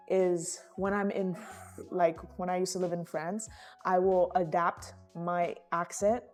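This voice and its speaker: A woman's voice: lowish voice, warm tone. A young woman from Vietnam with a mixed Vietnamese-American English accent, chatting casually and thinking aloud with fillers. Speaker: guest friend. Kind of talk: casual conversation.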